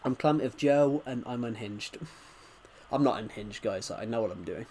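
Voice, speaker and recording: A man's voice: mid-range voice, normal speed, a little mellow, slight background noise. A man hosting a casual gaming livestream, talking in a rambling, stuttering way as he answers viewer comments. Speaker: livestream host.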